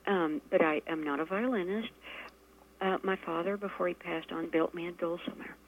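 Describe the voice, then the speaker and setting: A woman with a fairly low voice, talking in a measured, casual way as an interview guest and often pausing on fillers. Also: a little hiss or hum. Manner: measured, frequent fillers, laughs easily. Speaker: guest musician and poet. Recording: lo-fi radio recording.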